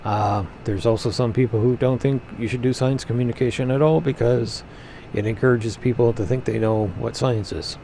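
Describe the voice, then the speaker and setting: A man's voice: medium pitch, steady tone, normal speed, gravelly, warm tone, a little hiss or hum. A man hosting a podcast who gives casual commentary and hesitantly restarts his sentences. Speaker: podcast host.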